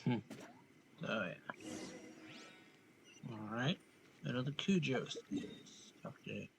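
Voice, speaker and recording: A man's voice: medium pitch, very animated, takes it slowly, gravelly, noisy background. A man giving casual, offhand playthrough commentary on a video game, half muttering to himself. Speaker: gamer, video host.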